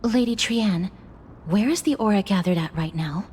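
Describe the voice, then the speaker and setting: A woman's voice: mid-range voice, animated, a little mellow, slight background noise, natural voice. A woman voicing a composed, courteous, serious young noblewoman warrior in an anime-style game, speaking formally. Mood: calm, pensive. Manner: formal, measured.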